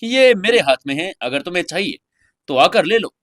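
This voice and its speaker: Man voicing a cold, taunting young warrior, raised pitch. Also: animated, normal speed, fairly bright tone. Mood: cold defiance. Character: cold, menacing young male warrior, mocking and unafraid.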